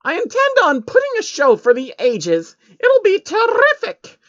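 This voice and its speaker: A man's voice: very high-pitched, wildly swooping pitch, measured pace, fairly bright tone, muffled recording, voice pushed up, exaggerated voice. A man voicing a boastful, eager female unicorn magician with a theatrical manner in a storybook reading. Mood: eager, proud. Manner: theatrical, refers to self in third person. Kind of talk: storybook character voice in amateur fan fiction reading.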